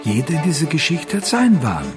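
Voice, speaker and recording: A man's voice: a little high, wildly swooping pitch, brisk. An actor playing an obsessive, haunted man with an insistent manner in a radio crime play. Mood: resigned. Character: obsessive, haunted alcoholic man pursuing a collection. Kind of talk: German radio drama acting. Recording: music behind the voice.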